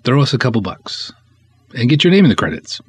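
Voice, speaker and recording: A man's voice: medium pitch, sing-song, a little fast, a little mellow, slightly rough. A man hosting a podcast as a filmmaker, giving a casual solo update in a conversational, enthusiastic way with emphatic stresses. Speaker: podcast host, film writer-director.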